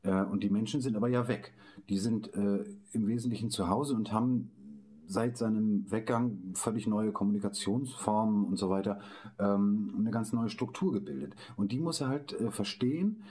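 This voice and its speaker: A man's voice: lowish voice, normal speed, dark tone. A man informally explaining a concept, hesitant and correcting himself as he searches for words. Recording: faint background noise.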